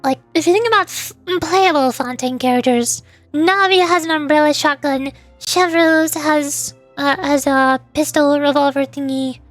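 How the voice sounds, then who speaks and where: Woman, high voice, playful casual game commentary, thinking aloud, music in the background. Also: steady tone, moderate pace, no echo. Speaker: streamer voice-acting along with a video game. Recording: music behind the voice.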